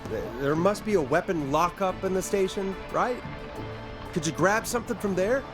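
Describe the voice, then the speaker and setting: An actor voicing a concerned, hesitant radio host in a horror video game, earnest and questioning. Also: male voice, high-pitched, normal speed, music in the background, natural voice. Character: uneasy, concerned male late-night radio host.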